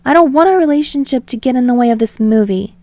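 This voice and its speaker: A nerdy young man played by a woman narrator speaks high and light, rambling anxiously. He is earnest and gently insistent, an audiobook character recorded in a dry space. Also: lively intonation, fast, dry recording.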